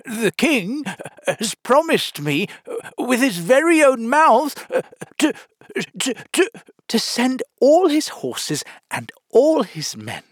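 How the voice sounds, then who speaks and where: A man voicing a pompous, touchy egg creature from a storybook, haughty and blustering, with halting stammers. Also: very high-pitched, very animated, fairly bright tone, no echo, studio quality, voice pushed up, exaggerated voice. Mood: solemn, outraged. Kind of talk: storybook character reading.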